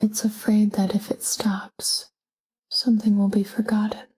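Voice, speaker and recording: A woman speaks in a soft, breathy half-whisper, guiding a sleep meditation. Her delivery is slow and even, with a mellow tone that stays calm and gentle throughout. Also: medium pitch. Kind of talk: scripted guided sleep meditation, soft spoken.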